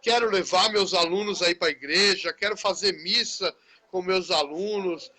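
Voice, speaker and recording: A man's voice: sits high, moderate pace, pushed and bright. A man who is a teacher, hosting a live conversation in a chatty, friendly way. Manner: chatty, friendly, often tags phrases with "né". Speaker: teacher, live-stream host. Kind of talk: casual conversation in a live stream.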